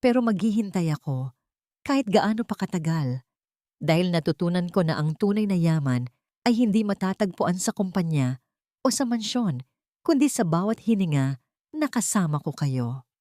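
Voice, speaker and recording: A woman with a Filipino accent voicing a remorseful, devoted, gentle male architect in a narrated romantic story. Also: fairly low pitch, sing-song, moderate pace, long pauses, no room sound, clean studio sound.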